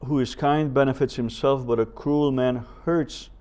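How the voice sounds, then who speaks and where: A man teaching a Bible seminar as a professor, speaking in a measured way with deliberate pauses, scripture quotations and rhetorical questions. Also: mid-range voice, steady tone, a little mellow.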